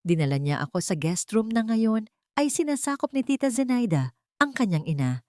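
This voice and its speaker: A woman narrating a dramatic first-person story in a deliberate, suspenseful way. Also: fairly high pitch, very animated, a little mellow, no room sound, clean studio sound. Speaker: audiobook narrator.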